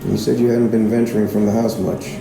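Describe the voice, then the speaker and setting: A low, gravelly small-town lawman, acted by a man, stern and probing. He lays out pointed questions deliberately, pausing for weight in an audio drama. The room echoes around him and music plays underneath. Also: flat delivery. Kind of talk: fiction podcast drama acting. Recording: roomy echo with music underneath.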